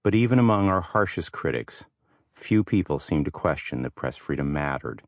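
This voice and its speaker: A man reading scripted audiobook narration in a measured, articulate way, close to a studio microphone. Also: rumbling low, dry recording.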